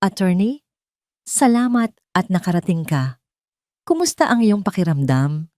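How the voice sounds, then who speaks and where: A woman's voice: lowish voice, sing-song, a little slow, dark tone, no echo. A dignified wife, played by a woman narrator speaks with restraint. Her voice sounds dry and close, in the manner of an audio drama heroine. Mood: composed, concerned. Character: composed, dignified wife and mistress of the mansion.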